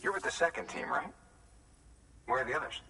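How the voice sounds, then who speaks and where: An actor playing a weary way station worker in a video game, earnest and emotional, frustrated yet hopeful, with halting delivery. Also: male voice, on the high side, expressive, distant mic, noisy background, natural voice. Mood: shocked, frustrated. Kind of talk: dramatic video game acting.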